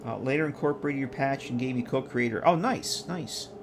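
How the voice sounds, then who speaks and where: A man's voice: medium pitch, brisk, noisy background. Tired, congested man thinking aloud. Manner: rambling, thinking aloud, self-correcting. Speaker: modding streamer. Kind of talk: casual live-stream commentary while working.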